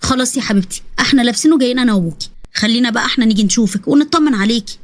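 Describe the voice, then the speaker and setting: A woman's voice: fairly high pitch, very fast, close to an everyday voice. A woman voicing a caring middle-aged mother on the phone with an Egyptian Arabic accent, coaxing and anxious.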